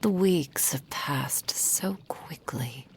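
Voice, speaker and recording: A woman playing a possessive, doting kitsune witch in an intimate ASMR roleplay, breathy and often whispering, with a silky, formal manner. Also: deep voice, extremely slow, darkish tone, slight background noise, full character voice. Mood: adoring, wistful.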